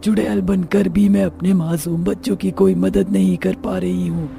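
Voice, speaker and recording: A woman's voice: lowish voice, little pitch movement, normal speed, gravelly, dark tone. A woman voicing a sorrowful, devoted dead mother turned witch in a horror cartoon, with plaintive, pleading delivery. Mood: anguished. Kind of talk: Hindi animated horror moral story acting.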